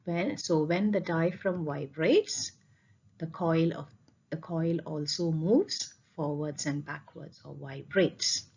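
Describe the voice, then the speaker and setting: A woman's voice: deep voice, slow, a little mellow, husky, dull audio. A woman teacher explaining a lesson over slides, repeating key points and posing questions as she goes. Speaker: physics teacher.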